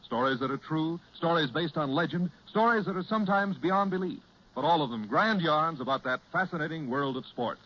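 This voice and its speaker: A man's voice: fairly high pitch, animated, fairly quick. An American man announcing on a 1940s radio show with polished, emphatic salesmanship. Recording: muffled, noisy 1940s radio broadcast.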